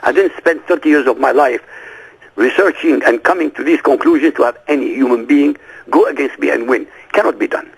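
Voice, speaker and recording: A man whose first language is Arabic, a guest on a radio interview, who talks forcefully and emphatically and keeps firing off rhetorical questions. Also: medium pitch, brisk, vocal fry, slightly rough, rough recording.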